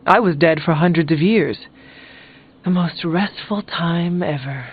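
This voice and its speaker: An actor playing a solemn, blunt, ancient resurrected warrior in a video game, speaking formally and calmly. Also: male voice, a little high, breathy edge. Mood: calm, dry. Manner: formal, measured, few contractions. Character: centuries-old resurrected male warrior, solemn, blunt, calm. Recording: phone-like thin audio, music behind.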